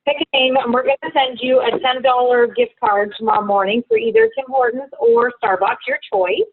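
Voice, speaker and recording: A woman from Ontario hosts a travel webinar over a video call, chatty and reassuring. Her pitch sits a little high and swings a lot. The sound is dry and squeezed like a phone line. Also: expressive, fairly quick, no echo. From Ontario, Canada. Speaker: travel agent hosting a webinar. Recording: tinny phone-like call audio.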